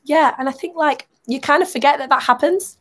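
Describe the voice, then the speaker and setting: A young woman chatting as a guest on a podcast, warm-hearted and quick to laugh at herself. Her voice sits a little high and is slightly croaky from a sore throat. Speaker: singer-songwriter guest. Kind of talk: casual interview chat.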